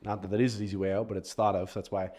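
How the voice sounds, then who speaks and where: A man's voice: fairly low pitch, flat delivery, very fast, dark tone. A man co-hosting a podcast in a casual, bantering style, often joking with his co-host.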